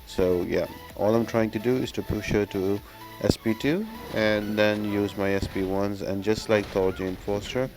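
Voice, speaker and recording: Low-voiced man giving game walkthrough commentary. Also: steady tone, unhurried. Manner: instructional, explanatory. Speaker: gaming video creator giving guides. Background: music.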